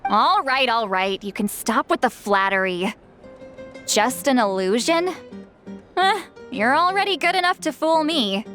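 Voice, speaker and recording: A woman's voice: sits high, lively intonation, a little slow, a bit bright. A woman voicing an easygoing, teasing female elder in an anime game, casual and reassuring. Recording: music playing behind the dialogue.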